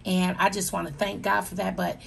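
A hoarse woman minister preaching an earnest sermon, talking straight to the listener and repeating words for emphasis. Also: mid-range voice, little pitch movement, fairly quick, a little hiss or hum.

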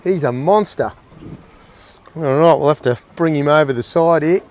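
A middle-aged man hosting a fishing show, giving excited, exclaiming commentary from a small boat. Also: a little high, moderate pace. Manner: enthusiastic, exclaiming, talks to the fish and his mate.

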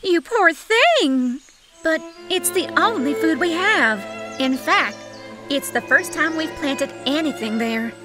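A woman voicing a kind, gentle rabbit wife of a former mayor. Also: sits high, animated, takes it slowly. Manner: gentle, sympathetic. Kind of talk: comic dub voice acting. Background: music.

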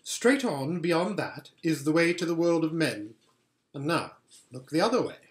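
A man's voice: fairly high pitch, animated, measured pace. A man voicing a scheming, regal witch queen from a storybook, sweet-talking and imperious. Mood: instructing.

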